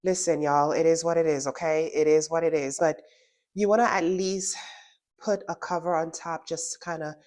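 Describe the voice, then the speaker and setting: A woman's voice: sits low, fairly even intonation, normal speed, darkish tone. A woman with a stuffy nose from a cold, chatting casually through a gardening how-to vlog and saying "y'all" often. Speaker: gardening vlogger.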